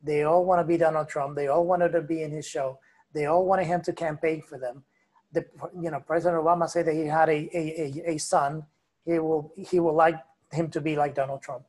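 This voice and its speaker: A man's voice: on the high side, little pitch movement, brisk, darkish tone. A man speaking as a guest commentator on a political podcast, emphatic and opinionated. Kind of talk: casual political podcast talk.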